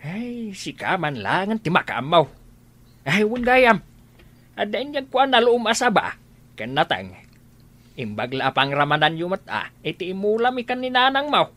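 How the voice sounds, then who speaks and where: A man's voice: high voice, sing-song, normal speed, pushed and bright, heavily acted. A man with a northern Philippine accent acting a concerned, kindly old father in a radio drama.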